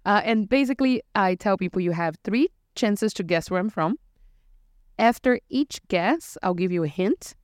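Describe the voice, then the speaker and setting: A woman from Brazil, co-hosting a casual chat about bartending, animated and quick to act out customers' lines. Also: medium pitch, no room sound, clean studio sound. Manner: animated, acts out customers' questions with laughs. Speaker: podcast co-host, bartender.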